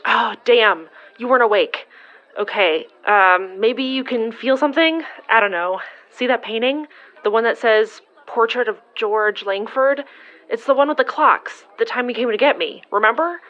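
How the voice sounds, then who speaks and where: An actress playing a protective, blunt young sidekick in audio fiction, talking in an informal, wry and emphatic way. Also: female voice, on the high side, moderate pace, fairly bright tone, lo-fi sound, natural voice. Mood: urgent, curious. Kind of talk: audio fiction dialogue acting.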